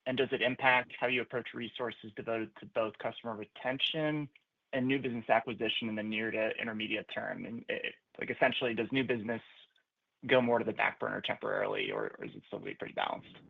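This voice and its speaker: A man's voice: on the low side, steady tone, fast, creaky, slightly rough. A man speaking as a financial analyst, asking polite, informal, slightly stammering questions on an earnings call. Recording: narrow tinny phone line.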